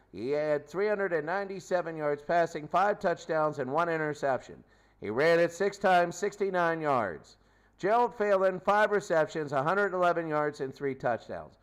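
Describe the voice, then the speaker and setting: Higher-pitched male sports recap host. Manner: repeats phrases for emphasis, clipped stat-listing. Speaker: sports show host, commentator. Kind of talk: unscripted game recap commentary.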